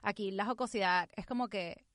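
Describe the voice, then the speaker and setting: A young adult woman with a Venezuelan accent, a guest telling stories in an animated way in a casual interview, dropping English phrases in now and then. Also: mid-range voice, no echo, clean studio sound. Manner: animated, mixes English phrases, quick storytelling. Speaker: guest, actress and comedian.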